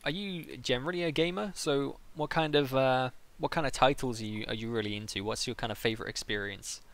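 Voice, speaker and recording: A man's voice: medium pitch, fairly quick, creaky, a bit bright. A man hosting an interview in a casual, conversational style. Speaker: interviewer, host.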